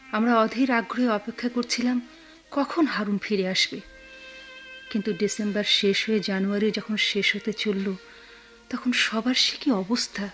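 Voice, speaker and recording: An actress with a Bangladeshi accent playing a tender, motherly married woman in a radio drama, soft-hearted and expressive. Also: mid-range voice, lightly acted. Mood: sorrowful. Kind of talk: Bengali radio drama acting.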